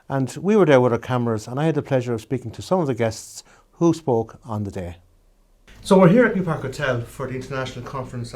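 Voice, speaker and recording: A man hosting an interview in a small studio, friendly and halting, with frequent fillers. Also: medium pitch, darkish tone. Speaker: host.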